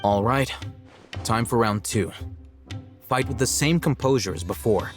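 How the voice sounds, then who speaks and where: An actor playing a composed, aloof young scholar in a video game, speaking in a measured, matter-of-fact and formal way. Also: male voice, medium pitch, over music, natural voice. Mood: cool, matter-of-fact. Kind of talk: video game cutscene dialogue.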